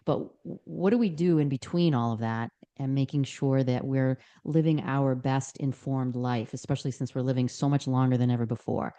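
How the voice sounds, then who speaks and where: A woman's voice: extremely low pitch, moderate pace, hardly any pauses, dark tone. A woman, a podcast guest telling her story in an earnest way, with many fillers and restarts. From Boston, Massachusetts. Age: 60s. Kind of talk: podcast interview.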